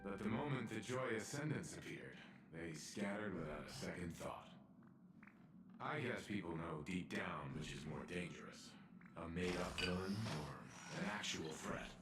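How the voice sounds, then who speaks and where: An actor playing a grave, caring, mature male mentor in a video game, with a measured, cautionary delivery. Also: low voice, lively intonation, reverberant, background noise. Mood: thoughtful.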